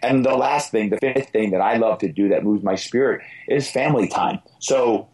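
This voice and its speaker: Raspy hoarse guy on a podcast, pumped-up coach talk, lowish voice. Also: moderate pace, darkish tone, low-quality recording. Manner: emphatic, motivational, repeats key phrases. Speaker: podcast guest, fitness business coach. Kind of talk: podcast interview answers.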